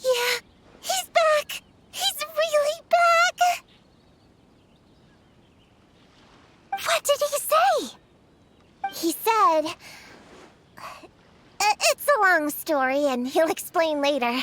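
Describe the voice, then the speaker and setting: A young girl witch hunter for an anime-style game, very high in pitch and unhurried. She is proud and easily embarrassed, earnest and defensive with a sweet side. Faint noise sits behind the voice. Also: expressive, fairly bright tone, heavily acted. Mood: overwhelmed, tearful. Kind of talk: scripted anime-style video game acting.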